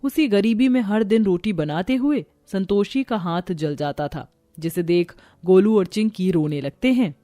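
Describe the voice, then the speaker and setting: A woman's voice: mid-range voice, measured pace, dark tone, no room sound. A woman reading scripted storybook narration of a moral tale.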